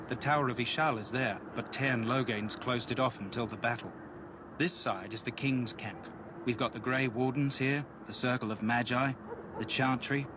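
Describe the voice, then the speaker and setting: Over clearly noisy audio, a man acting as a plainspoken fantasy game soldier talks in a mid-pitched voice. He is colloquial and chatty, with a wry grumble, like a guard who has seen the whole camp. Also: close to an everyday voice. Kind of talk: video game dialogue.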